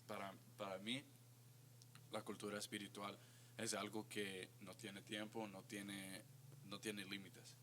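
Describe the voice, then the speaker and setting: A man co-hosting a youth faith podcast, talking slowly and dropping English words into his speech. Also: on the low side, flat delivery. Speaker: podcast co-host. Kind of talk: casual faith discussion. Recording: faint hum behind voice.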